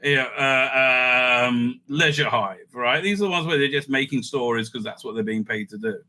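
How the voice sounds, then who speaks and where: Bright-voiced man thinking aloud. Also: mid-range voice, normal speed. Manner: rambling, thinking aloud, self-interrupting. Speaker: video host ranking TV stories. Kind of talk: casual, off-the-cuff ranking commentary.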